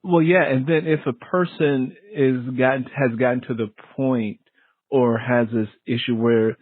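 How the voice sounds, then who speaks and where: A man's voice: medium pitch, breathy edge. A man hosting a casual, playful interview, joking and often echoing phrases, on muffled audio. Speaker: interviewer and host.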